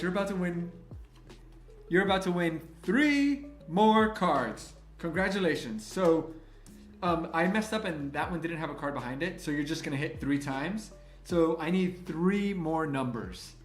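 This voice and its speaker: A man with a somewhat high voice hosts a live-stream giveaway, bubbling with excitement and calling out viewers by name. Spanish was his first language, and he grew up in Miami. The room is echoey, and faint music plays underneath. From Miami, Florida, US. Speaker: livestream host running a card giveaway. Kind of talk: live-stream chat, counting out picks.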